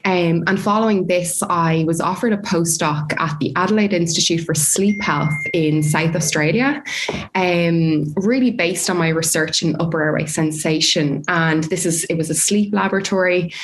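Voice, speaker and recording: A woman from Dublin with an Irish accent, a researcher explaining her field in a measured way with frequent fillers. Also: fairly low pitch, slight background noise. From Ireland. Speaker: speech pathology researcher. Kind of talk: academic discussion, explaining.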